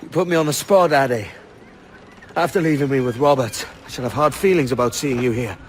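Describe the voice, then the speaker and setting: An actor playing a brash, proud young pirate with an impassioned, defiant manner in a video game cutscene. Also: male voice, medium pitch, fairly quick, a little hiss or hum, lightly acted. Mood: wry, warm.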